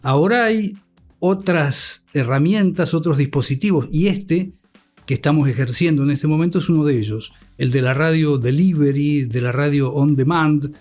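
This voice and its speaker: Higher-voiced Argentine man, reflective and articulate. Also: darkish tone. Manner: measured, articulate, formal vocabulary. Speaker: interview guest, communication academic and scriptwriter. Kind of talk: reflective interview talk. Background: music bed.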